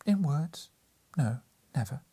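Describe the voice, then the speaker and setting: Man voicing a soft, breathy, sorrowful young woman. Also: on the high side, lively intonation, slow, pitched above the performer's own voice, full character voice. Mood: sad, steady. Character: gentle, sorrowful young woman releasing her betrothed. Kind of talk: dramatic audiobook character reading.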